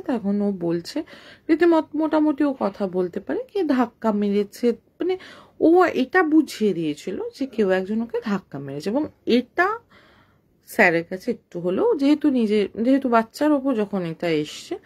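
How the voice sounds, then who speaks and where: Casting: female storyteller, husky voice, mid pitch, conversational and explanatory, unscripted feel with loose rephrasing. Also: darkish tone. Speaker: storyteller of ghost stories.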